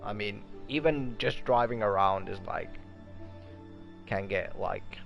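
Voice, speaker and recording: A man's voice: on the low side, slow pace, creaky, muffled recording. A tired man streaming a game, chatting casually in a rambling, sweary way. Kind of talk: casual livestream chat while playing. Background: game music.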